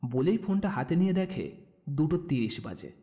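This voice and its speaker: A man's voice: fairly high pitch, sing-song, normal speed, mellow, slightly rough. A man narrating and presenting a scripted romantic audio story. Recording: long hall reverb, faint music behind. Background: faint music.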